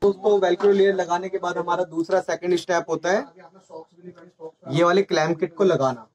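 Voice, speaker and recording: A man's voice: sits high, fairly even intonation, normal speed, a little mellow, lo-fi sound. A man giving a hands-on tutorial, explaining step by step in a small indoor room. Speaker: presenter of a motorcycle gear channel. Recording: faint background noise with clicks.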